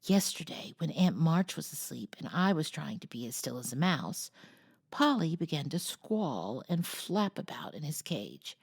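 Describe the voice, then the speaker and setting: A woman's voice: sits low, big pitch swings, a little slow, gravelly, breathy edge, no room sound, clean studio sound, exaggerated voice. A woman voicing an earnest, self-important young girl in a storybook, speaking primly. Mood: prim, chatty. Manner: prim, earnest.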